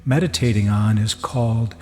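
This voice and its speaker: A man giving a guided meditation teaching in a measured, deliberate way, with pauses between phrases. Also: on the low side. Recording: long reverb, soft music behind. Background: music.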